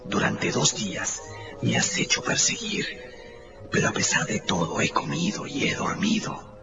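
An actor playing a menacing, megalomaniac rogue scientist in a radio drama, with a slow, whispery, theatrical delivery. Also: male voice, low voice, gravelly, muffled recording. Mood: coldly menacing. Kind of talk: Spanish-language radio drama acting. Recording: loud music over the voice.

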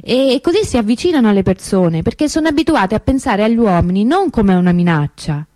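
A woman's voice: mid-range voice, animated, dark tone, no room sound, clean studio sound, natural voice. An actress playing an anguished young woman remembering her missing sister in an intimate, hesitant radio monologue. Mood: grieving, nostalgic. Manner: confiding, hesitant, conversational with pauses and repetitions.

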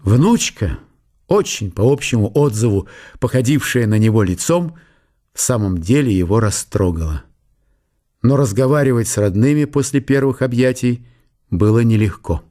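A man reading a literary historical story as a radio narrator, in measured short phrases. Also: mid-range voice, animated, dark tone. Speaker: narrator of a radio audio play.

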